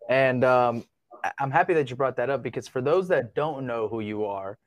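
An upbeat man co-hosts a casual podcast and talks loosely, with plenty of fillers. His voice sits at a middle pitch, and the recording is close and dry, with no room sound. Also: moderate pace, dry recording. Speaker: podcast co-host.

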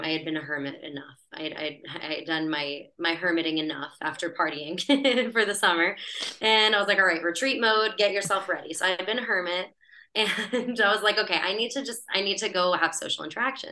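A woman's voice: fairly low pitch, brisk, bright, forceful tone. A woman telling a personal story as a podcast guest in a chatty, breezy way, laughing as she talks. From United States. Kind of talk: casual storytelling in conversation.

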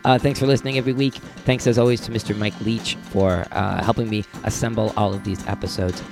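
Slightly low-voiced American man, podcast host. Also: steady tone, fast, vocal fry, over music. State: rough voice, under the weather. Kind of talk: casual podcast outro talk.

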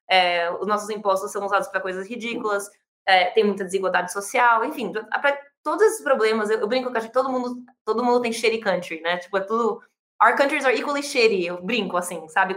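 A Brazilian woman in her thirties, a startup founder answering interview questions with lively, quick speech full of fillers and restarts. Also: mid-range voice, echoey room. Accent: Brazilian Portuguese. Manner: fast, animated, many fillers and restarts.

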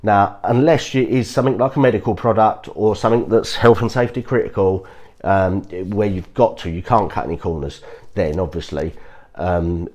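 A man with a somewhat low voice, hoarse and croaky from a cold. He hosts a podcast in a loose, unscripted way, restarting sentences and urging his listeners along with an earnest "trust me". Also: moderate pace, a little mellow. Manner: earnest, repeats "guys, trust me", frequent restarts. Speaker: podcast host. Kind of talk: casual motivational talk.

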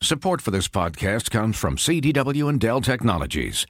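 A man's voice: fairly low pitch, sing-song, moderate pace, dry recording, clean studio sound. A man working as a commercial announcer, reading a scripted radio ad. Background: music bed.

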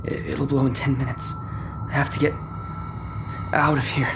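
A man playing a bewildered, frightened teenage boy in a sci-fi audio drama, stammering and breathy as he talks to himself. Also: medium pitch, little pitch movement, background noise, lightly acted. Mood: anxious, murmuring. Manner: halting, stammering, breathy gasps. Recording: loud music behind.

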